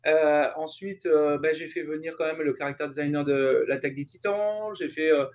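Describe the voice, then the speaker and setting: A man giving a casual interview as a guest, explaining his work in a halting, conversational way. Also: on the high side, a little mellow. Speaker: interview guest, translator and event coordinator.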